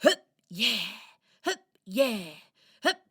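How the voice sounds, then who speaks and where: A woman's voice: on the high side, sing-song, very slow, gravelly, a bit bright, breathy edge, heavily acted. A woman playing a small, brave male robin who coaches with bouncy, emphatic encouragement in a children's radio play. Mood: excited coaching.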